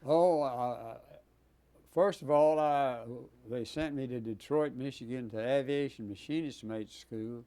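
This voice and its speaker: Halting, mellow-voiced man in his nineties. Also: medium pitch, clear, resonant tone. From Texas. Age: 90s. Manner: halting, many pauses and fillers. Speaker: Navy veteran guest. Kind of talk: oral history interview.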